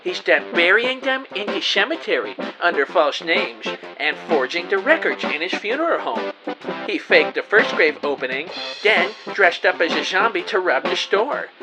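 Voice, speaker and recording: An actor playing an earnest, excitable, bumbling amateur detective, narrating his case melodramatically in an audio drama. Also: high voice, normal speed, music in the background, low-quality recording. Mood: triumphant. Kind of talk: scripted first-person audio drama narration.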